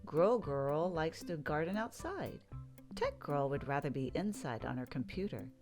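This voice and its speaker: A woman narrates a children's picture book in a low voice. Her delivery is slow and very varied in pitch, with music clearly playing behind her. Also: very animated, a little mellow. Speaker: children's read-aloud narrator. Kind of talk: scripted storybook narration.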